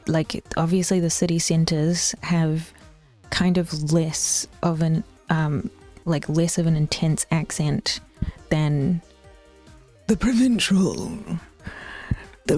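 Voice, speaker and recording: A woman's voice: on the low side, darkish tone. A young woman with an Auckland New Zealand accent chatting casually with her stream chat, rambling, swearing and sometimes dropping into whispers.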